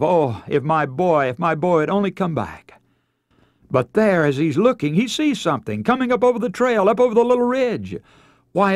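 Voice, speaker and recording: A man's voice: a little high, no room sound, studio quality. An American man preaching a radio sermon as a dramatic, emotive story, with theatrical delivery and rhetorical questions.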